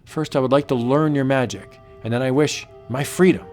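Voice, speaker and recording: A man voicing an excitable, determined explorer anthropologist in a melodramatic comic book audiobook. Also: medium pitch, normal speed, faint music behind, lightly acted.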